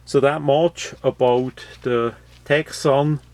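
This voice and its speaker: A man giving an unscripted, matter-of-fact product review, explaining things in a halting way with frequent pauses. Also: mid-range voice, fairly even intonation, darkish tone, dry recording. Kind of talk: unscripted gadget review commentary.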